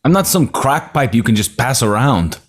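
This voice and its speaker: A man's voice: medium pitch, very animated, normal speed. A man playing a flustered, reluctant male escort in a screenplay table read, speaking hesitantly with stammers. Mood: flustered, protesting.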